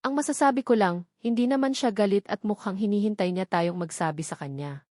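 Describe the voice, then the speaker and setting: A woman's voice: medium pitch, a little fast, warm tone, no room sound, close to an everyday voice. Woman reader doing young filipino boyfriend in a romance story, ardent and devoted, dry audio. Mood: reassuring. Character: Blue, ardent young man wooing his secret lover.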